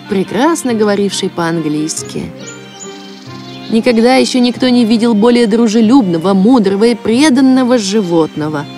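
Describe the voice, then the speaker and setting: A female storyteller narrates a children's cartoon fairy tale from a script. Her voice is fairly high, with lively, expressive intonation. Music is clearly heard beneath it. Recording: music behind the voice.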